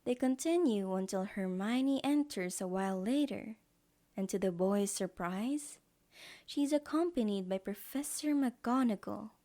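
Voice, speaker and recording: A woman's voice: mid-range voice, mellow. A woman giving scripted narration for an audio drama as its storyteller.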